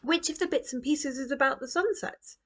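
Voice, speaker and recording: Woman voicing an eager, high-pitched young girl. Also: fairly quick. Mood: curious. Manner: earnest, excitable. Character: eager, hopeful young girl, pleading and impatient. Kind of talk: children's storybook reading.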